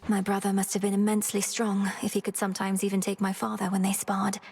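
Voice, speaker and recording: A woman's voice: medium pitch, monotone, quick pace, gravelly, a little mellow, close to an everyday voice. A woman voicing an earnest, formal young witch princess.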